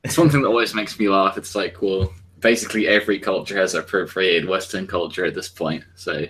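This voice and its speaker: A man's voice: deep voice, steady tone, brisk, fairly bright tone, slight background noise. A young man in a casual group chat, blunt and sardonic, swearing often. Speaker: panel guest.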